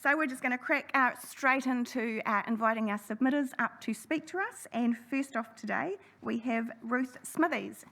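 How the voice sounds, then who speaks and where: A woman chairing a council hearing, running through procedure in a brisk, friendly way. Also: on the high side, vocal fry, sharp tone. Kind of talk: formal meeting chairing. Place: council chamber.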